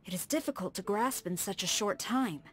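A proud tengu warrior woman from a video game. She speaks formally and precisely in a composed, firm voice at a medium pitch, stern and reserved, with music playing behind her. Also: animated, breathy edge. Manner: formal, measured, precise diction. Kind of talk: scripted video game character dialogue. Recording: studio voice over background music.

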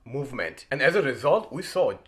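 A man in his thirties giving an earnest, measured, point-by-point match analysis as a fan channel host. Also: medium pitch, very animated, a bit bright. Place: small indoor room by a window.

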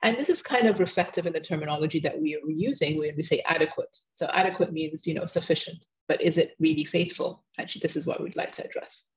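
A woman giving an academic seminar talk over slides, speaking quickly and often restarting and correcting herself. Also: low-pitched, lo-fi sound. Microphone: video call microphone.

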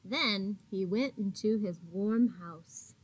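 A woman in her thirties, a teacher reading a picture book aloud to young children in a deliberate, expressive way with drawn-out words. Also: medium pitch, breathy edge, poor audio. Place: small indoor classroom. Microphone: laptop or webcam at arm's length.

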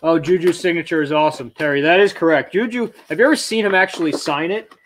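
A man's voice: a little high, fast, fairly bright tone, slight background noise. A man in his thirties hosting a casual live auction stream from a small storage room, chatting and reading viewer comments aloud as he moves around, sometimes stepping away from the webcam mic. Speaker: auction livestream host. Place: small storage room full of shelves, indoors. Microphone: webcam mic at desk, sometimes farther away.

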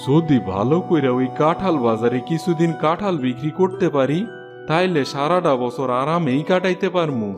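A man's voice: fairly high pitch, moderate pace, over music, lightly acted. A man voicing a kindly, humble old village trader in a cartoon, speaking folksy rural eastern Bengali dialect. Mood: earnest, content. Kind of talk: cartoon voice acting.

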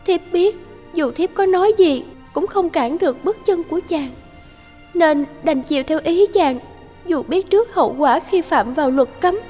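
An actress playing a gentle young fairy wife in an animated folktale, speaking tenderly and formally. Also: female voice, extremely high pitch, normal speed, soft background music. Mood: sorrowful.

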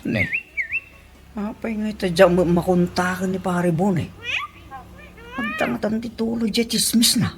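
A performer playing a gossip-loving, defensive husband in an Ilocano radio comedy drama, animated and exclamatory, with an Ilocano accent. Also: mid-range voice, unhurried, long pauses, music in the background. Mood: indignant.

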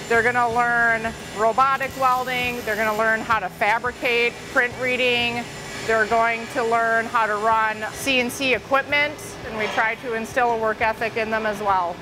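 A woman in her thirties, a welding instructor, explaining her program in an upbeat, clear way in a shop. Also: fairly high pitch, low-quality recording. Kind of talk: promotional interview. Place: large welding shop, indoors. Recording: music bed underneath.

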